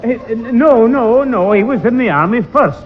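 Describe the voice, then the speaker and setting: A man's voice: very high voice, lively intonation, normal speed, a little hiss or hum. A man playing a scheming older grandfather with a Scottish accent, flustered and stammering, in a 1960s radio sitcom. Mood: flustered bluster. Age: 60s or older. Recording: echoey dull old radio recording, faint music.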